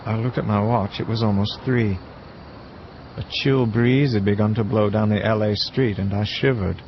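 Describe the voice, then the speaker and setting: A man's voice: lowish voice, steady tone, normal speed, natural voice. An actor playing a reflective young man narrating his own story in an audio drama. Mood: reflective, subdued. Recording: muffled lo-fi, faint outdoor ambience noise.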